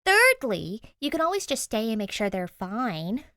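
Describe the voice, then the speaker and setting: A woman's voice: fairly high pitch, very animated, normal speed, bright, forceful tone, dry recording, studio quality, pitched above the performer's own voice, exaggerated voice. A woman voicing a stubborn, snappy teenage girl in an audio story reading. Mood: pushy, insistent. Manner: defiant, snappy, emphatic. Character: feisty, stubborn teenage ghost-clone girl.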